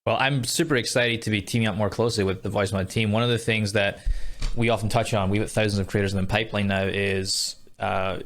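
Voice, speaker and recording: A man's voice: on the low side, steady tone, fast talker, fairly bright tone, a little hiss or hum. A Scottish man hosting a podcast, talking in a conversational interview style. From Scotland.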